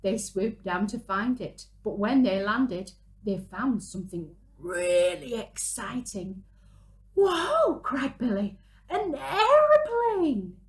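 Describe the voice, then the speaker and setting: A woman, reading a picture book aloud to young children in an animated, dramatic way. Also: mid-range voice. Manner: animated, dramatic gasps and drawn-out exclamations. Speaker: children's storyteller, early years presenter. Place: small room at home, indoors.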